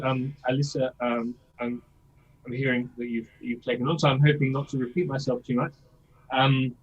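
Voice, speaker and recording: A man's voice: fairly high pitch, slightly rough, dull audio. A man coaching a singer in a masterclass, explaining things and checking in with "yeah?" as he demonstrates lines himself. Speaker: vocal coach.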